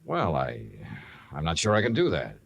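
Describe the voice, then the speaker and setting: An actor playing a skeptical American insurance investigator in a 1950s radio drama, with dry, wry delivery. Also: male voice, deep voice, wildly swooping pitch, moderate pace, vocal fry, slightly rough, full character voice. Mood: businesslike. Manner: dry, wry, hesitant when doubtful. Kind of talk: 1950s radio drama, hard-boiled narration. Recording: old radio broadcast, faint music.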